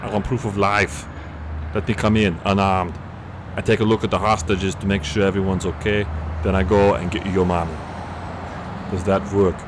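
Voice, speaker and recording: A man playing an unflappable, wisecracking cop in an action-parody radio play, deadpan and nonchalant. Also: deep voice, normal speed, close to an everyday voice. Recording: noisy city and traffic background.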